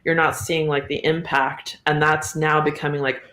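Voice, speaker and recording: A woman chatting casually as a podcast guest, wry and halting, recorded with a roomy echo. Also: low-pitched, little pitch movement, fairly bright tone. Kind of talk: casual chat. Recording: roomy, echoey sound.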